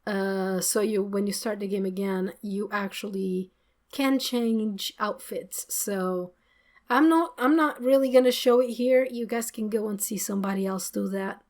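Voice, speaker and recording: A woman hosting a let's play, chatting excitedly and loosely about a game, her voice a little worn from talking. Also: mid-range voice, unhurried. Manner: excited, rambling, many fillers. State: slightly losing her voice. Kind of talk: casual gameplay commentary. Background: faint game music.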